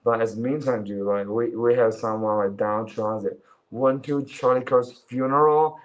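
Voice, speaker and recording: A man's voice: mid-range voice, normal speed, rough recording. A Chinese man in his twenties whose first language is Chinese, chatting loosely on a livestream, swearing and calling listeners bro. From China. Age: 20s. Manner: mocking impressions in a raised voice. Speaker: livestream commentator. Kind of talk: casual livestream chat.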